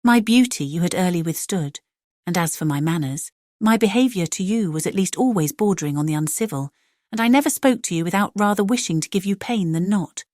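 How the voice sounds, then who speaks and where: A woman's voice: lowish voice, animated, brisk, darkish tone, dry recording, studio quality, close to an everyday voice. A woman voicing a playful, teasing, spirited young lady in a classic novel audiobook.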